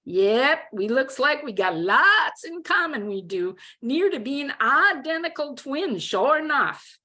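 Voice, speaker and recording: A woman's voice: high-pitched, sing-song, slow, pushed and bright, voice pushed up, full character voice. A woman voicing a tall, amused college student from Texas, with a playful, exaggerated Texan drawl in a story reading. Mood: teasing.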